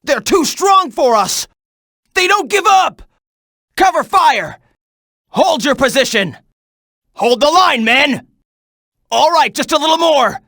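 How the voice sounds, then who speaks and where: Tense, urgent game soldier. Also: male voice, very high-pitched, lively intonation, takes it slowly, long pauses, bright, forceful tone, dry recording, studio quality, exaggerated voice. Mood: frantic, shouting. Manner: clipped, urgent military delivery. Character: tense military soldier barking combat reports and orders. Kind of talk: video game battle voice clips.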